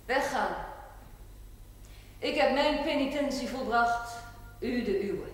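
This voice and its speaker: An actress playing a worldly, unrepentant older woman in a television drama, speaking deliberately and theatrically with dry sarcasm. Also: a little high. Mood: bitter, impassioned. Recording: echoey hall with steady hiss.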